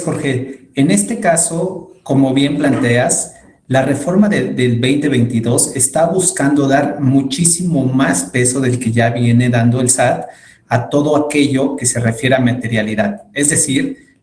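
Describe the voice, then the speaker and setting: A man's voice: medium pitch, a little mellow. A Mexican man giving an orderly, formal explanation as an expert panelist in a webinar. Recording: echoey room sound.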